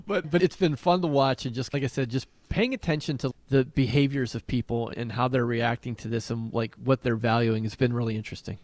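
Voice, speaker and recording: A man's voice: medium pitch, steady tone, fairly quick, few pauses, darkish tone, no room sound. A man co-hosting a podcast in a casual, conversational style. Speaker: podcast co-host.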